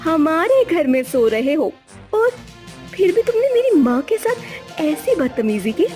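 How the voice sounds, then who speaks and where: A woman acts out a young wife in a moral story. Her high voice swings widely in pitch as she scolds, indignant and full of scorn. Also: big pitch swings, measured pace, darkish tone, soft background music, heavily acted. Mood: angry scolding. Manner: scolding, taunting.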